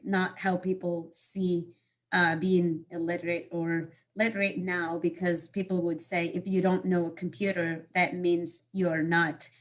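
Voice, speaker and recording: A woman, telling her life story as a guest speaker on a video call, pausing often with fillers and restarts. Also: lowish voice. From Morocco. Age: 30s. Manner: halting, many fillers and restarts. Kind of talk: personal life story talk.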